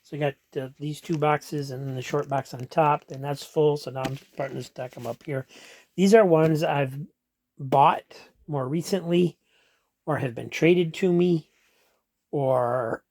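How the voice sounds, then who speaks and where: A man casually walking through his comic collection room, rambling and explaining with frequent ums and restarts, a bit hoarse as he's losing his voice. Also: a little high, unhurried, darkish tone, dry recording. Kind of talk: casual walk-through vlog.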